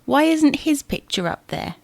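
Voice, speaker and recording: A nagging, suspicious wife played by a woman in a comedy audio drama. Her voice is on the high side and very animated, turning from exasperated to drily sarcastic. The sound is close and dry. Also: unhurried, vocal fry, no echo, full character voice. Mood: puzzled, exasperated. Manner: exasperated, sardonic.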